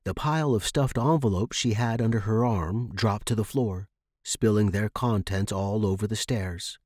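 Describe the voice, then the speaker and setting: Guy narrating a horror story podcast, kinda low voice, dramatic scripted read, really dry recording. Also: moderate pace, darkish tone, no room sound.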